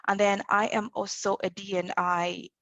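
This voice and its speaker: A woman in her thirties from Zambia, speaking on a panel in a relaxed, thoughtful way with many fillers. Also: mid-range voice, moderate pace, dry recording. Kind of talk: conversational talk.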